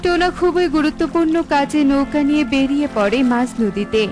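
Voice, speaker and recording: High-voiced woman narrating a children's story. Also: expressive, brisk. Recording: music playing behind the voice.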